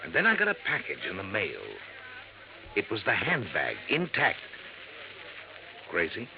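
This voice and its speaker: An actor playing a puzzled, genteel businessman in a 1950s radio drama, polite and measured. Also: lowish voice, huge pitch swings, heavily acted. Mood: puzzled, confiding. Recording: muffled, noisy old radio recording.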